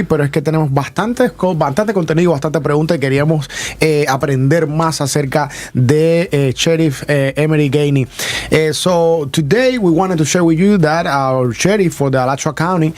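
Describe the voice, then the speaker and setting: A man with a somewhat high voice hosts a radio morning show from a studio microphone. He talks chattily and rambles, restarting his sentences with stammers as he addresses his guest and his listeners. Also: brisk, a little mellow. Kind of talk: bilingual radio interview hosting.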